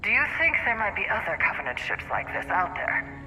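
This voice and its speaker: An actress playing a reserved military scientist doctor with a precise, formal manner in a cinematic game. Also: female voice, mid-range voice, very animated, a little fast, bright, forceful tone, soft background music, poor audio. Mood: measured, cool.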